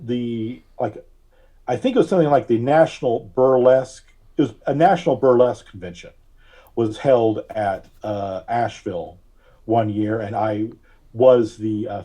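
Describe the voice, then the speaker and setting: A man speaking as a podcast guest, recalling things casually in a halting, deliberate way with frequent long hesitations. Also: on the low side, warm tone. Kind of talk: casual interview recollection.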